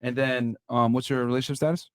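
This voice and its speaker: A man's voice: mid-range voice, fairly even intonation, fast talker, smooth. A man hosting a podcast, questioning guests in a blunt, teasing way. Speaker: podcast host. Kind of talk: casual interview questioning.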